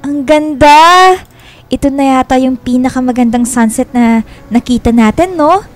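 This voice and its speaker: A woman playing a sweet, playful young girlfriend in a radio romance drama, with a Filipino accent and a coy, affectionate, expressive delivery. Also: sits high, lightly acted. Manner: coy, expressive, drawn-out endearments.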